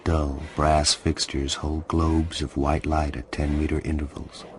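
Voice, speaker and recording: An actor playing a watchful, brooding hacker who narrates a radio drama in a hushed, terse, intense first person. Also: male voice, extremely low pitch, level tone, a little slow, slight background noise.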